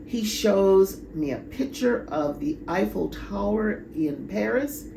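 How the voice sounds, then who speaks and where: A woman reads a picture book aloud to children. Her voice is creaky and slow-paced, with drawn-out, expressive emphasis. Clear background noise runs under the amateur recording. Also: medium pitch, reverberant. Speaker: children's storybook reader. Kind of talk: expressive read-aloud storytelling with asides.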